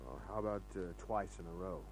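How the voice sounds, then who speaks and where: Low-voiced wisecracking radio detective. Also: male voice, quick pace, over music, poor audio. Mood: teasing, casual. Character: wisecracking male private detective, flirtatious, tough, easygoing. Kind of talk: old-time radio drama acting with first-person narration. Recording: old radio recording with hum.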